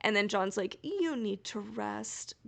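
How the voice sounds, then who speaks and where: A woman's voice: mid-range voice, expressive, slow pace, gravelly, fairly bright tone. A woman co-hosting a podcast in casual fan discussion, giggly and enthusiastic in manner. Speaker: podcast co-host.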